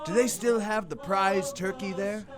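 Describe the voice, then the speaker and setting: A man playing a reformed old miser in an audio drama, giddy, warm and exclamatory, often repeating himself. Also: high voice, fairly even intonation, a little slow. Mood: giddy, eager. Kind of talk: theatrical audio drama acting. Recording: music playing behind the voice.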